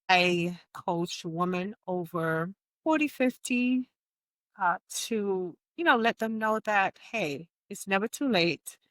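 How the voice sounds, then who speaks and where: A woman's voice: mid-range voice, dry recording. Woman in her sixties, storytelling. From Jamaica. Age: 60s. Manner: warm storytelling, laughs often. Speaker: guest, fitness coach. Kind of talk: podcast interview.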